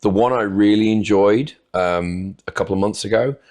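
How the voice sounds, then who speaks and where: A man answers interview questions, his voice sitting very low and heavy. He talks in a relaxed, rambling way and pokes gentle fun at himself. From North Wales. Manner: unhurried, rambling, self-deprecating. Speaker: podcast guest. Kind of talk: casual interview chat.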